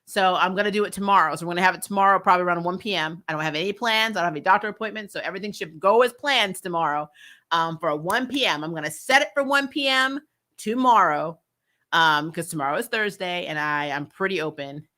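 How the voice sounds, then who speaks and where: Bright-voiced woman, blunt exasperated reviewer. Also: lowish voice, fast talker. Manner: exasperated, blunt and sweary, laughs while ranting. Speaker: host of a TV review show. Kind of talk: live casual opinionated review chat.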